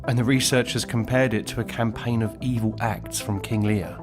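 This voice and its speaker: A man's voice: medium pitch, fairly even intonation, normal speed. Man narrating over a music bed. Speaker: video narrator. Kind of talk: scripted documentary narration.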